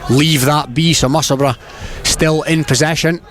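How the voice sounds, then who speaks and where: A man with a Scottish accent giving live radio play-by-play rugby commentary in a measured, descriptive and colloquial way. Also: fairly high pitch, steady tone. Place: outdoors at a rugby ground. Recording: outdoor live broadcast, loud crowd and noise. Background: spectator crowd, outdoor ambient noise.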